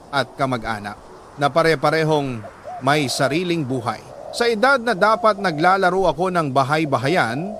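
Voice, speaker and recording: A man reading a scripted first-person letter as a dramatic story, in a solemn, measured, emotive way. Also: on the high side, fairly bright tone, a little hiss or hum.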